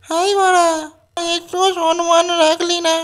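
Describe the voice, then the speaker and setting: An actor voicing a whiny, childish bachelor in a comedy cartoon, with a squeaky voice and slangy talk. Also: monotone, measured pace, a bit bright. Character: Bhoga, whiny childish bachelor eager to marry.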